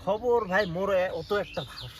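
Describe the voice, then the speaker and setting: A man's voice: high voice, natural voice. A man acting a shameless, pushy moocher friend in a comedy sketch, chummy and insistent, with a northern Bengali regional dialect. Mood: pleading, scheming. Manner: insistent, chummy, fast-talking. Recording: faint outdoor background noise with birdsong.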